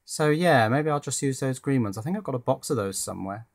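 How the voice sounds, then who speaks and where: A British man in middle age with a mid-pitched voice talks casually through hands-on work. He thinks aloud as he goes, pausing and hesitating like a hobbyist presenting at his own workbench. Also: animated. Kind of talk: casual hands-on project narration. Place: indoors at a workbench.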